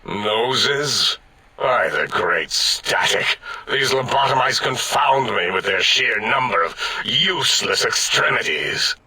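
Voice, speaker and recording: A man voicing an irritable, bombastic mad-scientist brain in a machine for a video game, over-enunciating with indignation and a harsh rasp. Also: medium pitch, animated, takes it slowly, pushed and bright, a little hiss or hum, full character voice, poor audio. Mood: disgusted, baffled. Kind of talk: theatrical comic video game acting.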